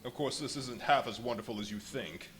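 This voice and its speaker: A man's voice: mid-range voice, fairly quick, a bit bright, lightly acted. An actor playing a desperate, menacing invisible man in a live radio play, speaking in a commanding, theatrical way. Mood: impatient. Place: live performance at a brewery venue. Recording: noisy live stage recording with ambience.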